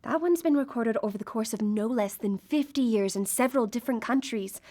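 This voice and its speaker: An actress plays a driven, earnest woman with a fairly high voice. She speaks intently and haltingly, as live radio drama. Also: dark tone, close to an everyday voice. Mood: fervent. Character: earnest, driven woman hunting for her lost friend.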